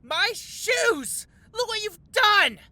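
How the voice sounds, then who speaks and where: A woman's voice: very high voice, expressive, very slow, bright, forceful tone, no echo, exaggerated voice. A woman playing a smug, sexist male office rival, pompous and sneering, in a comedy audio drama. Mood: outraged.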